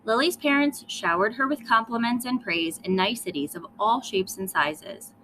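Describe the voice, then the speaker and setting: A woman reading a children's story aloud in an expressive style with dramatic emphasis. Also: on the high side, normal speed, slight background noise, rough recording. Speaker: storybook reader.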